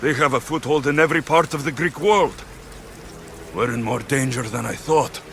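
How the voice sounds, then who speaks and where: A man's voice: mid-range voice, moderate pace, gravelly, a bit bright, slight background noise. A man voicing a wary, terse warrior infiltrator in a video game, guarded and musing. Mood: wary, pondering.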